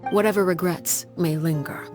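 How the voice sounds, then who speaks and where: A woman playing a stern, composed leader of a secret organization in a video game, measured and formal. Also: lowish voice, fairly even intonation, close to an everyday voice. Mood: solemn regret. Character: stern, composed female head of a secret organization, called Father. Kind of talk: dramatic video game voice acting. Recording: faint music behind the voice.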